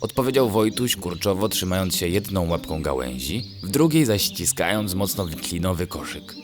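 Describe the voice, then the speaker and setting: Male storyteller, deep low pitch, gentle measured delivery, children's bedtime narration, music underneath. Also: sing-song.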